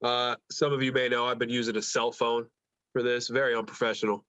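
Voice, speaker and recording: A man's voice: medium pitch, little pitch movement, a little fast, fairly bright tone, dry recording, muffled recording. A man with a stuffed-up, congested nose from allergies, co-hosting a casual podcast with blunt, joking hot takes. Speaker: podcast co-host. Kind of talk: casual banter.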